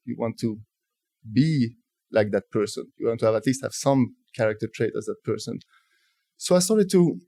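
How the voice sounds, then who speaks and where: Interview guest: French-accented man, forties, mellow low-key tone, dry close sound, measured and halting delivery. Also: no echo. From France. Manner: thoughtful, halting, many restarts. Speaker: guest, telecom executive. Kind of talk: conversational interview storytelling.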